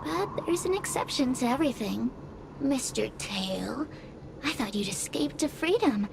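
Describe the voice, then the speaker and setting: A woman's voice: sits high, lively intonation, a little slow, vocal fry, noisy background, full character voice. A woman voicing a proud, mocking fire spirit in a video game, speaking in a theatrical storyteller's manner. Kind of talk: video game character acting.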